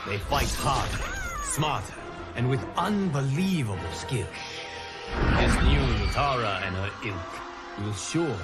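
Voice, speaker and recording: An actor playing a solemn guardian of timelines in a video game, narrating in a formal, portentous, deliberate manner. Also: male voice, medium pitch, animated, loud music behind the voice, natural voice. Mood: grave, warning. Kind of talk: scripted dramatic narration.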